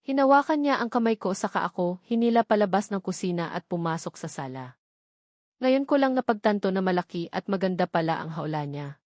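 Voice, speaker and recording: A woman's voice: mid-range voice, lively intonation, a little fast, darkish tone, no room sound, studio quality. A woman with a Filipino accent reading a scripted first-person romance story as an audiobook narrator.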